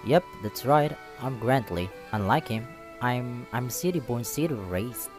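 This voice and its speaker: Big brash tiger lad for a visual novel, read by a man, teasing, mid-pitched, over music. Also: expressive, measured pace, lightly acted. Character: big, grinning, teasing young male tiger, city born. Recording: music playing behind the voice.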